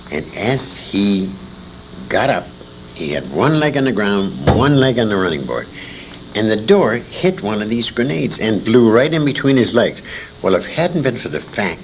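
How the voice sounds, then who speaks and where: An older American man telling anecdotes in casual conversation, salty and emphatic, repeating phrases for effect. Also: mid-range voice. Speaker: interviewee, war veteran and old-time radio performer. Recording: noisy informal interview recording.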